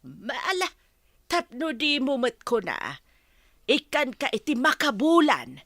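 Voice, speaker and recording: A woman's voice: high voice, sing-song, slow pace, fairly bright tone, no echo, studio quality, exaggerated voice. A woman acting a proud, insistent friend with an Ilocano accent in a Filipino audio drama. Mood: firm, teasing.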